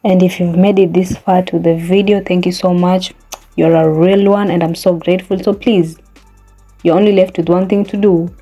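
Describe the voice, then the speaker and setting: A young woman whose first language is Swahili speaks in a mellow, slightly low voice, chatting casually and earnestly from a small bedroom. She keeps coaxing her viewers along with friendly check-ins. Faint music plays underneath. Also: level tone, moderate pace. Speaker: hair care vlogger. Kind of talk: casual how-to chat to camera.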